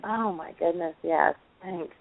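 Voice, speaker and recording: A woman's voice: fairly low pitch, measured pace, vocal fry, no room sound. Effusive woman co-host on a phone line. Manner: enthusiastic, effusive. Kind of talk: casual chat on a call-in show.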